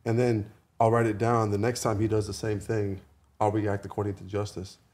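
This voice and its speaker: A man chatting casually as a podcast guest, haltingly repeating words as he goes. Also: lowish voice, flat delivery, a little mellow.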